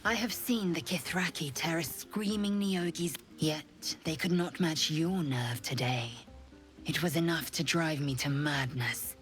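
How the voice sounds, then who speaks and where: Low, fierce alien warrior woman. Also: measured pace, soft background music. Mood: intense, admiring. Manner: clipped, formal, menacingly intimate. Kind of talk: dramatic fantasy game acting.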